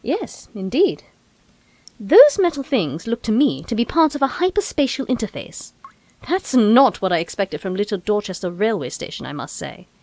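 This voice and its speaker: A woman's voice: mid-range voice, very animated, moderate pace, a little mellow, no echo. A woman playing an eccentric, breezy, brainy time-travelling Doctor in an audio drama, chatty and whimsical, with quaint turns of phrase. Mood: curious.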